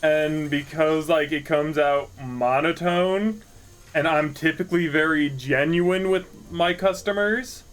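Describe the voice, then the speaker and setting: A young man chatting casually while playing a video game, low-key and somewhat monotone. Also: takes it slowly. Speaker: gamer. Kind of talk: casual let's-play chat.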